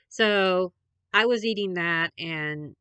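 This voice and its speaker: A woman's voice: on the low side, sharp tone, clean tone, no room sound. A young adult woman, a little congested, chatting in a rambling, meandering way with many fillers as a podcast host. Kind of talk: rambling casual chat.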